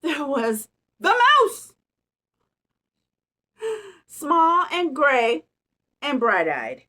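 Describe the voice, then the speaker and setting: A woman reading a picture book aloud, expressive and playful, with chatty casual asides to children. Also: high voice, drawn-out words. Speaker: storytime reader.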